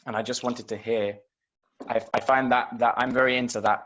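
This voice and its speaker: A man's voice: medium pitch, fairly even intonation, fairly quick, fairly bright tone. A man introducing himself and asking a question in an online class, rambling and hesitant, on a video call. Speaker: class participant.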